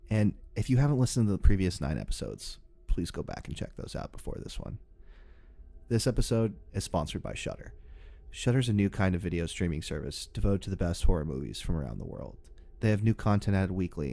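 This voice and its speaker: A man's voice: deep voice, creaky, mellow, no room sound. A man hosting a podcast, reading a scripted introduction and sponsor message. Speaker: podcast host.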